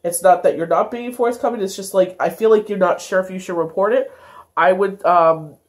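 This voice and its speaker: A woman's voice: medium pitch, fairly quick. Stuffy-nosed woman reading tarot cards. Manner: meandering, many fillers and self-corrections. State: congested, stuffy nose. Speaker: tarot reader. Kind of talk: daily tarot card reading.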